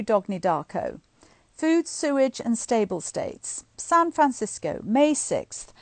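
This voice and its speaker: A middle-aged woman reading a book aloud to online listeners in an expressive, informal, chatty way. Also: fairly high pitch, no echo. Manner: informal, chatty asides between expressive read passages. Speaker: guest reader of a bedtime story series. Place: indoors at home, on a live online stream.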